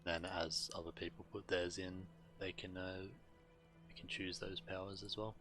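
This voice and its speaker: A man streaming a video game, reading on-screen text and commenting casually in a measured, subdued way. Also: low voice, little pitch movement, a bit bright, soft background music.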